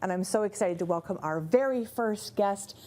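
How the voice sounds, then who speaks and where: A woman's voice: medium pitch, normal speed, a little mellow. A middle-aged woman hosting a TV interview show in a studio, talking in a bubbly, effusive way. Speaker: TV host.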